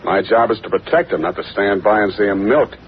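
An American actor playing a tough, wisecracking private detective, clipped and deadpan, in 1940s radio style. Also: male voice, on the low side, brisk, a little hiss or hum, lightly acted. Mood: dry, businesslike. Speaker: lead actor and narrator. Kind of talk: 1940s radio detective acting, hard-boiled narration. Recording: muffled old radio broadcast, loud music.

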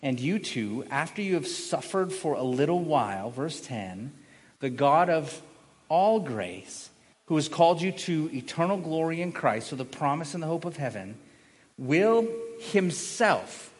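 A male pastor preaching a sermon with emphatic, dramatic swings from raised voice to hushed emphasis, leaning on repeated phrases and rhetorical questions. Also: a little high. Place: large reverberant indoor church hall. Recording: long reverb of a large hall.

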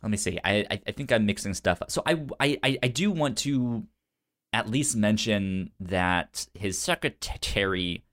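A man co-hosting a podcast with casual film commentary, halting and stuttering on repeated sounds and words. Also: lowish voice, expressive, fairly bright tone. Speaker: podcast co-host.

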